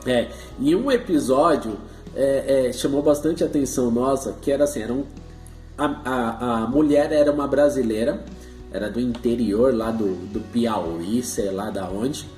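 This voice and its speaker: Mellow Brazilian man in an echoey room. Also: medium pitch, normal speed, faint music behind. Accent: Brazilian Portuguese. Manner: chatty, jokey, many restarts and laughing asides. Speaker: co-host, husband and father.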